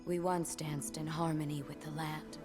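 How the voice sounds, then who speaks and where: An actress voicing a proud, resolute young warrior woman in a video game, solemn and commanding, with measured delivery. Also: deep voice, flat delivery, darkish tone, natural voice. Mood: solemn, grave. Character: proud, resolute young woman warrior, blade dancer defending her homeland. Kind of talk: game champion voice lines and trailer narration. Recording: reverberant game audio over music.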